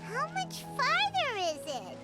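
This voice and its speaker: A woman voicing a tiny, excitable childlike fairy companion in a video game, with a squeaky, exclamatory delivery. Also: slow, loud music behind the voice, exaggerated voice. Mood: eager. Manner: speaks of herself in the third person, exclamatory.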